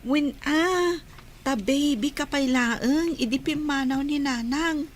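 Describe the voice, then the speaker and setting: A woman playing a young village girl, an older sister, with a childlike, drawn-out delivery in an Ilocano radio drama. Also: high voice, slight background noise. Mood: puzzled.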